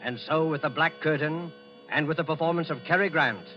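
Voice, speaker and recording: A man hosting a mystery series as a 1940s radio announcer, reading a script in a formal, ominous, measured manner. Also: fairly high pitch. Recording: muffled old radio, music behind.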